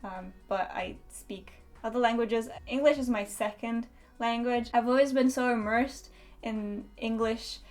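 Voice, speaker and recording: A woman's voice: fairly high pitch, steady tone, a little slow, darkish tone, faint music behind. A young woman from Romania, a native Romanian speaker, chatting casually to camera as a book vlogger, laughing often and restarting her sentences. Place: home in Denmark.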